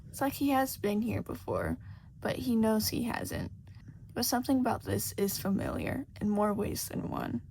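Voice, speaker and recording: A woman's voice: mid-range voice, huge pitch swings, moderate pace, gravelly, darkish tone, slight background noise, rough recording. A woman reading scripted fiction as a story narrator. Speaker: podfic narrator.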